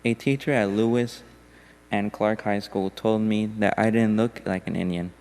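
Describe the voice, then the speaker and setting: A man reading a poem aloud during a church service in a steady, deliberate way. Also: on the low side. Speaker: congregation member reading a poem. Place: church sanctuary. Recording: long hall reverb.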